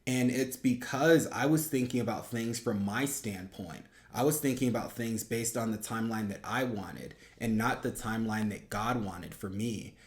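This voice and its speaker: A man's voice: lowish voice, moderate pace, few pauses. A young man giving earnest, reflective faith-based commentary in a conversational, explanatory way, as the host of a review video. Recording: roomy, echoing sound.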